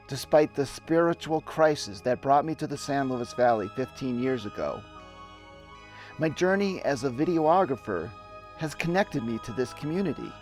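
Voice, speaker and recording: A middle-aged man giving a dramatic reading of his own memoir in deliberate, theatrical storytelling. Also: mid-range voice, darkish tone. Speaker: narrator and videographer. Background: music bed.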